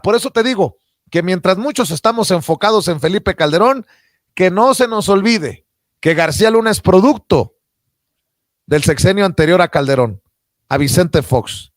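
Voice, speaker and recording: A Mexican man with a notably high voice presents political commentary as a show host. His delivery is emphatic and accusatory, and the sound is dry and close. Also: no room sound. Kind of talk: political news commentary, partly read from notes.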